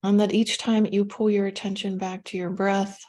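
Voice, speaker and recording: A woman with a soft-edged, mellow voice guides a meditation. Her pitch sits in an ordinary middle range for a woman. She gives gentle instructions without hurry, leaving space between phrases. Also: little pitch movement. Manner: gentle, unhurried instruction with pauses.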